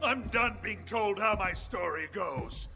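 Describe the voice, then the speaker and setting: An actor voicing a menacing, gloating undead tyrant in a fantasy video game, with theatrical delivery. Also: male voice, high-pitched, lively intonation, a little slow, vocal fry, full character voice. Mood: gloating menace. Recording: loud music behind.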